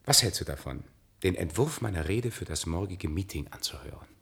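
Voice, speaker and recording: A self-assured experimental musician with a very low voice. He speaks in florid, ironic phrases with lively intonation, as in radio drama dialogue. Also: brisk, vocal fry, heavily acted. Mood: confident, explaining. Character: self-assured male avant-garde sound artist and musician.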